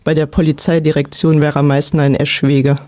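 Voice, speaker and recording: A woman's voice: deep voice, level tone, a little fast, mellow. A woman in her forties, a police road safety teacher, answering questions in an interview. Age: 40s.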